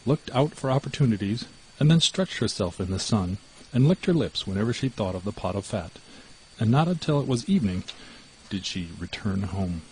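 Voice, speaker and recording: A man with a fairly low voice narrates an audiobook fairy tale. His scripted reading is expressive, with a highly varied pitch. The recording carries some background noise. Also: a little fast, poor audio.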